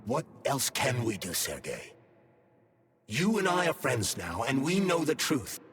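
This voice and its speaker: A man's voice: medium pitch, huge pitch swings, fairly bright tone, slightly rough, in a large hall, heavily acted. A man playing a sentient glove that holds a dead scientist's mind, formal and coolly vengeful, in a video game cutscene. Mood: persuasive, grim. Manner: articulate, formal, measured explaining.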